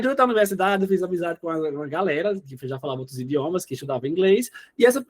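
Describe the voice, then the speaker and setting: Rapaz nordestino de uns vinte e poucos anos, voz aguda, conversa solta de entrevistado. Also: male voice, sits high. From Northeast Brazil. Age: 20s. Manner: chatty, often says "né?" and "entendeu?". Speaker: interview guest. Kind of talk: casual interview chat.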